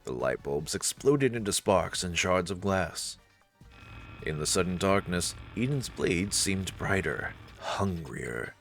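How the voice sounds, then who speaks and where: A man's voice: low voice, very animated, slow pace, fairly bright tone, faint music behind. A man narrating a visual novel as a dramatic story, reading aloud for a let's play.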